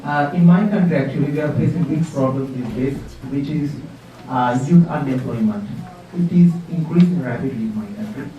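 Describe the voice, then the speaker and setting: A man's voice: mid-range voice, normal speed, mellow. A man from Sri Lanka introducing himself at a workshop, modest and earnest as he asks his question. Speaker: workshop participant. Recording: distant dull mic in an echoey room.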